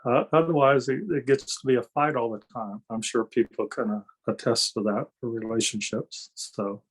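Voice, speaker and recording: A man's voice: mid-range voice, steady tone, normal speed, rough recording. An older man with a mid-range voice talks as a guest on an interview show. He explains in a folksy, conversational way, slipping into anecdotes and often adding small tags to check he is understood. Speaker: interview guest, energy healer and kung fu master.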